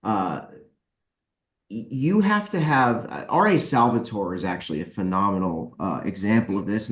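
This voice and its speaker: A man with a fairly low voice chats casually as a podcast guest. He is emphatic and likes to repeat words for weight. The room around him is clearly echoey. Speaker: podcast guest, author and editor. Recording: roomy, echoey voice pickup.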